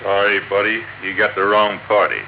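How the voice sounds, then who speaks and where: A man's voice: lowish voice, flat delivery, normal speed, lightly acted. An American actor playing a smug, menacing gunman in a 1950s radio crime drama, taunting in tough-guy talk. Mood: smug menace. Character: menacing, smug male gangster with a gun. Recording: muffled, noisy old radio recording.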